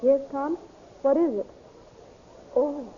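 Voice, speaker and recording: An actress playing an eager young heroine in a 1930s radio serial, breathless and pleading. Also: female voice, sits high, slow pace. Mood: anxious. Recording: dull, lo-fi 1930s radio transcription with hiss.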